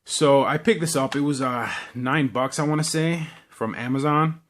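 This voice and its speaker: A man gives a casual, unscripted show-and-tell in a medium-pitched voice. He talks in a halting, rambling way, often repeating words as he goes. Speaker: vlogger.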